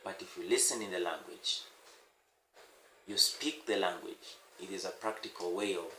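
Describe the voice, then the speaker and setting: A man's voice: deep voice, sharp tone. Swahili-speaking man teaching in an echoey room. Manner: unscripted, repeats words and pauses with many "uh"s. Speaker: language teacher. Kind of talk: casual spoken lesson to camera. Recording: echoey room with faint noise.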